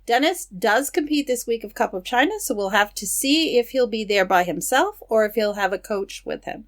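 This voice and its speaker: A woman co-hosting a podcast, reading news items in a steady, matter-of-fact way with casual asides. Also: on the high side, normal speed. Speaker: podcast co-host.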